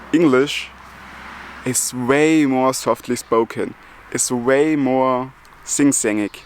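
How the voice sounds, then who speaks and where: Slow-talking German man who stutters. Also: medium pitch. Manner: stutters with repeated sounds and restarts; chuckles between phrases. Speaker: YouTuber. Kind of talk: casual vlog talk.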